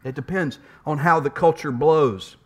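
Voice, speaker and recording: A man's voice: fairly high pitch, measured pace, breathy edge. A man preaching a sermon in a conversational way, asking rhetorical questions and sometimes repeating words as he goes. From United States. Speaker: pastor.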